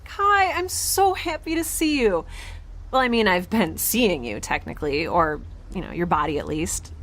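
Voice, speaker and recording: A woman playing an excitable, awkward, optimistic young space traveler in a science fiction audio drama, rambling in informal speech. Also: fairly low pitch, normal speed. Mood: excited, awkward.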